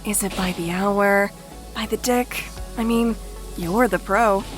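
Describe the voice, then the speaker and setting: A woman's voice: mid-range voice, slow pace, soft background music, natural voice. A woman playing a defiant, foul-mouthed teenage outcast girl with biting sarcasm in an audio drama. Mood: mocking.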